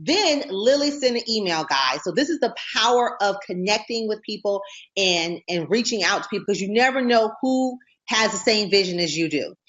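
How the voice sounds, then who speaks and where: Woman, talk show host, bubbly, excitable, laughs a lot, dull lo-fi sound. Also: mid-range voice, normal speed. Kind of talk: casual interview chat.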